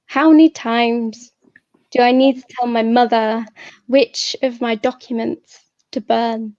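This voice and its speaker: Slow-paced young woman, higher voice. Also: steady tone, dark tone, no room sound, dull audio. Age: young adult. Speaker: poet, guest speaker. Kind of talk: personal talk and poetry reading.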